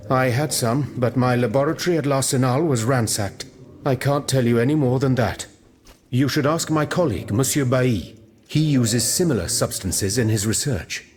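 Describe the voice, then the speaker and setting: A man voicing a confident, learned gentleman chemist in a video game, musing and precise. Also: medium pitch, normal speed, slight background noise, lightly acted. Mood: musing, pedantic. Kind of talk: scripted video game cutscene dialogue.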